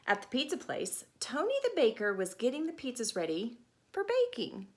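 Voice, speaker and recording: A woman storytime teacher reading a picture book to children, expressive and deliberate, with chatty asides and questions. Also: on the high side.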